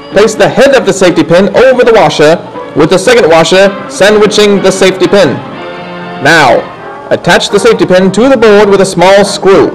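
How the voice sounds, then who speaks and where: Man, high-pitched voice, scripted instructional narration, deliberate folksy delivery, faint music behind. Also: low-quality recording. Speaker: instructor narrating a how-to film.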